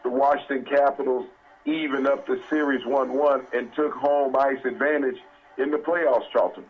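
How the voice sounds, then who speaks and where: A man's voice: mid-range voice, steady tone, moderate pace, husky, muffled recording. A man from the American South co-hosting a sports talk show in a folksy way, often stumbling over his words. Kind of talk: casual sports talk radio banter.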